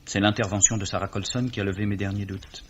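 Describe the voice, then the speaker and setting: A man's voice: lowish voice, flat delivery, fast, slight background noise, close to an everyday voice. An actor playing a distraught, impassioned young man with emphatic, theatrical delivery in a 1950s-style French radio drama. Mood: subdued. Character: distraught young Englishman accusing gang of crooks, impassioned. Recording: dull vintage radio recording.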